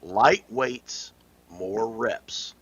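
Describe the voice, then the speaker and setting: Slow, husky man giving advice. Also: lowish voice, fairly bright tone, dull audio. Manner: emphatic, repeating his advice. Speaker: podcast co-host. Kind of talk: casual chat.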